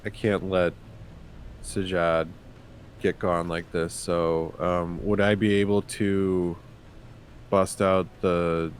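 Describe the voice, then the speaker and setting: A man playing a tabletop roleplaying game in casual chat, hesitantly thinking out loud. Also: low-pitched, flat delivery, slow pace, long pauses, a little hiss or hum.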